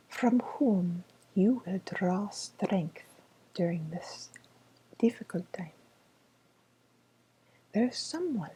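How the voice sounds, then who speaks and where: A woman playing a soft-spoken fortune teller in an ASMR role-play, with a made-up foreign accent and a gentle, hesitant, whispery delivery. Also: medium pitch, slightly rough, full character voice, poor audio. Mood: soothing, earnest. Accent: made-up, indeterminate foreign. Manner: slow, hesitant, gently reassuring, with pauses. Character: softly spoken mystical fortune teller woman reading tarot cards.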